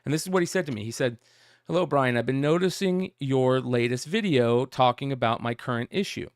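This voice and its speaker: Gravelly, smooth-voiced male show host. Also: medium pitch, fairly quick, no room sound, clean studio sound. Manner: rambling, reads out a message in plain voice. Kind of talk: casual comic news talk.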